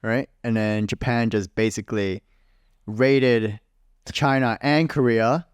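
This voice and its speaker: A man's voice: mid-range voice, fairly even intonation, slow, clean tone, dry recording, clean studio sound. Laid-back young man telling stories. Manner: laid-back storyteller, laughs often. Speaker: podcast co-host. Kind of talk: casual chat.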